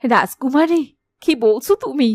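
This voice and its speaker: Monkey mother voiced by a woman for a cartoon fairy tale: tender, emotional, expressive. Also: high voice, unhurried, a little hiss or hum. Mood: moved, loving.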